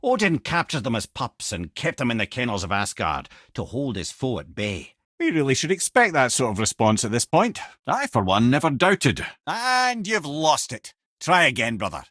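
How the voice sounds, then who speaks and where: A man's voice: mid-range voice, huge pitch swings, moderate pace, hardly any pauses, a bit bright, no room sound, clean studio sound. A man playing a witty, learned old talking head in a video game, an eloquent storyteller and loyal companion with a Scottish accent. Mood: wry. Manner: wry, eloquent, colloquial storyteller. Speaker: companion and lore-teller.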